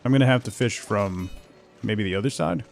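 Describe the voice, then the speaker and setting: A man's voice: on the low side, lively intonation, brisk, vocal fry. A man doing casual solo commentary on a gaming stream, muttering to himself with playful asides. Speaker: game streamer.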